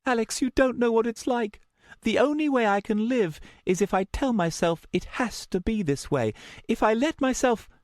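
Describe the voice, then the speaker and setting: A man's voice: high-pitched, expressive, moderate pace, a little mellow, dry recording, studio quality, pitched above the performer's own voice. A man voicing a frightened, defiant young woman in an audiobook story, strained, emotional and insistent. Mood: frightened, pleading.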